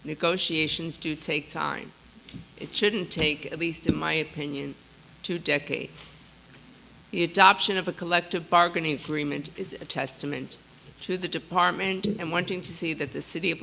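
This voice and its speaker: A man, a council member, giving formal, measured remarks at a city council meeting. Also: fairly high pitch, fairly even intonation, gravelly, pushed and bright, slight background noise, low-quality recording. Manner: formal, measured, reads prepared praise.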